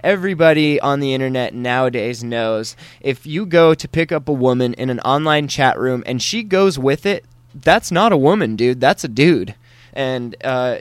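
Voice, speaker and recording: A man's voice: medium pitch, smooth, dry recording. Crude, irreverent male podcast host. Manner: crude, irreverent, laughing often. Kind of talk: crude comedic news riffing.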